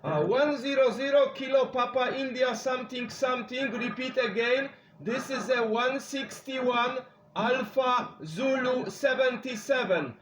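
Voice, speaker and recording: Male CB radio operator, native Polish speaker with Polish accent, extremely high voice, repetitive procedural calls, roomy echoing sound. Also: a little slow, noisy background. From Poland. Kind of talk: radio contact calls in short repeated phrases.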